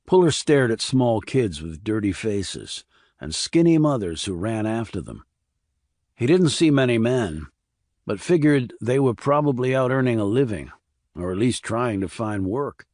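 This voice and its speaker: A man's voice: mid-range voice, very animated, unhurried, a little mellow, dry recording, studio quality. A man reading scripted crime thriller narration as an audiobook narrator.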